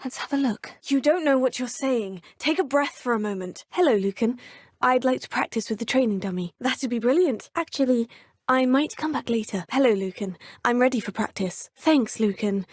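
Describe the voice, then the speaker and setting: Young British witch student, voiced by a woman: polite, composed, a touch formal, fairly high voice, dry studio sound. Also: moderate pace, dry recording, lightly acted. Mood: curious, polite. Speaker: player character in a video game. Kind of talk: game dialogue lines.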